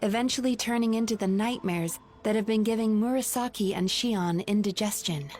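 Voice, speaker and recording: A woman voicing an earnest young female therapist in a video game, with measured, articulate delivery. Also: medium pitch, a little mellow, close to an everyday voice. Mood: calm, serious.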